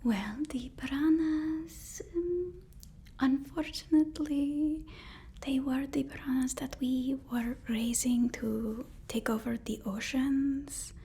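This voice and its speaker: A woman playing a devoted, anxious assistant to a supervillain in an ASMR roleplay, whispering in a halting, soothing, deferential way. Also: high-pitched, fairly even intonation, mellow.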